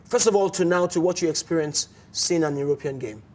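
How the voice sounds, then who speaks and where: Man, Nigerian accent, sports show host, animated, repeats words, slightly high-pitched. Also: a little fast. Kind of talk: casual studio chat with phone-in.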